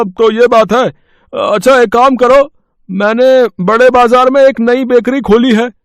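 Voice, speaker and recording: A man's voice: extremely high pitch, no room sound, studio quality. A man playing a talkative bakery owner in a dramatised moral story, tagging his remarks with little questions. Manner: talkative, adds tag questions. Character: brisk, chatty bakery owner, male boss.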